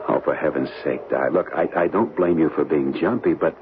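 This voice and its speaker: Low-voiced, flustered, reluctant husband. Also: male voice, normal speed. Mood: exasperated, resigned. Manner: stammering, exasperated protests. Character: nervous, reluctant husband, evasive but giving in. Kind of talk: old-time radio drama acting. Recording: muffled, noisy vintage radio recording.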